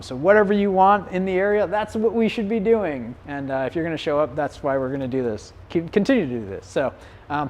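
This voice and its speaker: A man teaching a community bike clinic in a loose, conversational way. His voice is getting hoarse. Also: high-pitched, a little mellow. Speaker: bike shop clinic instructor. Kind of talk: live-streamed community clinic talk.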